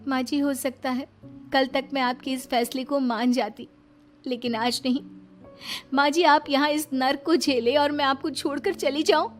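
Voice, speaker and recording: A woman's voice: high-pitched, fairly even intonation, normal speed, faint music behind, pitched above the performer's own voice, natural voice. A woman voicing a young, devoted and shrewd daughter-in-law in a cartoon family story, pleading and coaxing sweetly. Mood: tearful, firm.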